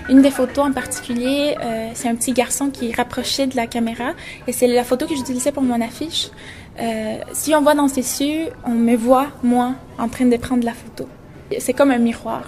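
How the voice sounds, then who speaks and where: Young woman photographer from Venezuela, 20s, higher voice, reflective, music underneath. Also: steady tone, moderate pace, darkish tone. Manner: reflective, searching for words. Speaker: photographer and journalism student. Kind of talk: interview about her photo exhibition.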